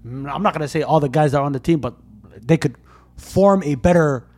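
A man's voice: fairly high pitch, a little fast, darkish tone. A man in casual podcast chat, hedging his words with slang and fillers.

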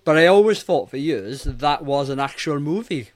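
A man's voice: fairly high pitch, expressive, moderate pace. A man in his forties co-hosting a casual film review podcast, talking in a rambling, hesitant way. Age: 40s.

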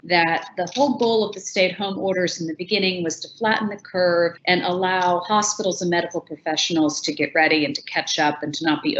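A woman's voice: on the low side, a bit bright. A woman with an American accent hosting an interview and putting considered questions to her guest. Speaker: interviewer, host. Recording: echoey, dull, noisy room audio.

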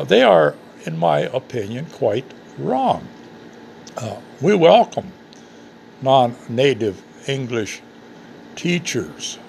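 An American man from the Upper Midwest, an English teacher giving an earnest, measured talk that poses questions and answers them. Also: medium pitch, gravelly, darkish tone, slight background noise. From United States. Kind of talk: reflective talk to camera.

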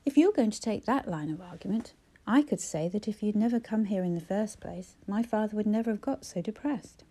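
A woman's voice: medium pitch, a little fast, warm tone, natural voice. A woman voicing a cold, condemning man. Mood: stern. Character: stern, cold younger man confronting her.

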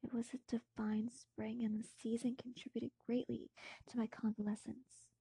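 A woman reading aloud and chatting as an audiobook podcaster, with an expressive manner. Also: fairly high pitch, moderate pace, creaky, husky, dry recording. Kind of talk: literary narration and casual chatty asides.

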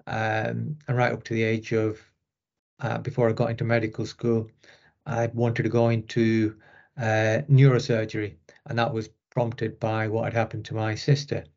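Northern English man, flat even delivery. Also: on the low side, moderate pace, vocal fry, lo-fi sound. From Rochdale, northern England. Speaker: interview guest, sports medicine consultant. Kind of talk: conversational interview answers.

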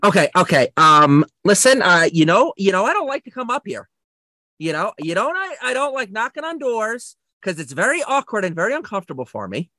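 An actor playing an awkward, fed-up landlord in a comedy audio sketch, halting and repeating phrases. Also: medium pitch, big pitch swings, pushed and bright, no room sound. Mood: awkward, steamed. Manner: halting, hesitant, repeating himself.

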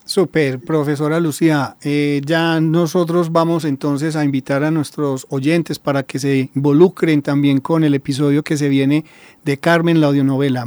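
A man's voice: fairly high pitch, darkish tone, clean tone, no room sound. A man with a Colombian accent presenting a radio show in a courteous, formal way. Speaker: host.

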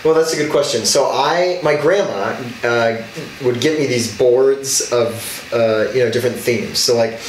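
A man's voice: mid-range voice, measured pace, roomy sound, far from the mic, slight background noise, poor audio. A young man, an alderman from Wisconsin, telling his life story earnestly in an interview. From Racine, Wisconsin. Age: young adult. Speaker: alderman guest.

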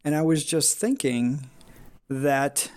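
A man hosting a casual podcast conversation, talking in a flat voice with frequent restarts and trailing thoughts. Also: a little high, takes it slowly, dry recording. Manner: rambling, restarts often, self-described flat voice.

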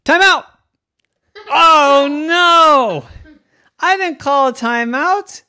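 A storyteller voicing an exasperated, excitable female basketball coach who shouts and repeats words urgently. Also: high voice, drawn-out words, pitched above the performer's own voice, full character voice.

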